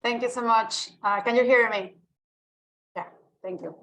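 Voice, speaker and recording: Woman from Mexico, casual self-introduction, halting with fillers, dry sound, video call. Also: on the high side, a bit bright, no room sound, rough recording. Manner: hesitant, with many fillers.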